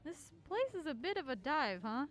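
A woman plays an anxious young heroine of a radio play with a high, very animated voice. She trades wry, exasperated banter as a quick-witted runaway. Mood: relaxed, playful. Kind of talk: radio drama acting.

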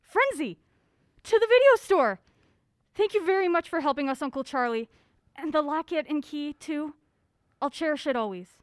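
A woman playing a feisty, quick-witted young amateur sleuth with a brisk, sardonic manner in an audio drama. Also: sits high, lively intonation, no echo, clean studio sound. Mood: excited, grateful.

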